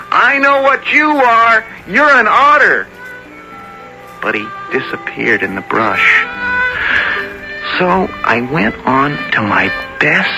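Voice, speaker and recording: An actor playing an eager, trusting, simple-minded boy who loves nature, with slow, plain phrasing in a 1970s radio drama. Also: on the high side, gravelly, full character voice, poor audio. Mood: amazed, excited. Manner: slow, simple phrasing with wide-eyed exclamations.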